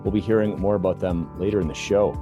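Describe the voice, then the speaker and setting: A man's voice: low-pitched, steady tone, quick pace, lo-fi sound, over music. A man hosting a podcast, asking long, rambling questions with many fillers and restarts in casual chat. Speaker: podcast host.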